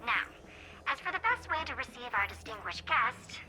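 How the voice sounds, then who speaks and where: Poised, sly strategist general. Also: female voice, fairly high pitch, big pitch swings, bright, forceful tone, full character voice, rough recording. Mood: grave, portentous. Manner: measured, theatrical, teasing. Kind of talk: scripted video game acting. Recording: game music under the dialogue.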